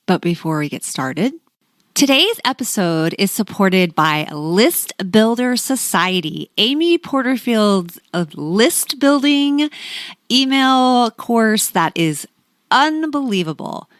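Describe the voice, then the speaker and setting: A woman's voice: fairly high pitch, lively intonation, takes it slowly, dry recording. Enthusiastic woman podcast host, dry close sound. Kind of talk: conversational podcast hosting with sponsor read.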